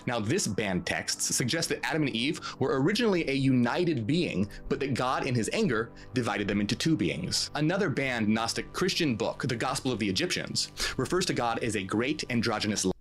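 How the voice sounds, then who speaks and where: A man's voice: mid-range voice, animated, a little fast. A man presenting scripted explanatory narration, posing emphatic rhetorical questions. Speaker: video presenter. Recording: music bed under the voice. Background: background music.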